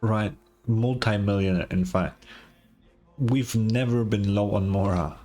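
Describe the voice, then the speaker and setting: A man's voice: lowish voice, unhurried, a little mellow, soft background music. A man giving casual, laid-back reaction commentary as a game streamer, with short dry interjections.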